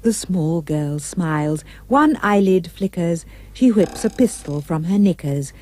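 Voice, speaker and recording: A woman giving expressive, theatrical rhyming storybook narration for a puppet show. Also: on the low side, unhurried, a little hiss or hum.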